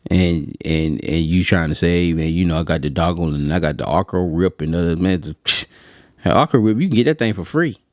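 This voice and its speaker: A man's voice: low-pitched, brisk, darkish tone, no echo, studio quality. An American man giving casual, unscripted opinions as a video host, blunt and colloquial, repeating phrases for emphasis. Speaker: video host and print equipment reviewer.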